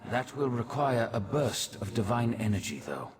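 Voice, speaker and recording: An actor playing a solemn, otherworldly figure giving arcane instructions in a video game. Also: male voice, medium pitch, big pitch swings, normal speed, natural voice. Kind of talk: video game character dialogue. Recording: long cavernous reverb, faint ambient noise.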